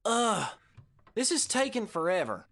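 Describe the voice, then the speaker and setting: A woman's voice: mid-range voice, sing-song, unhurried, fairly bright tone. A woman voicing a cocky, chatty, folksy male ace pilot in a space audio drama. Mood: curious. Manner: breezy, boastful, folksy.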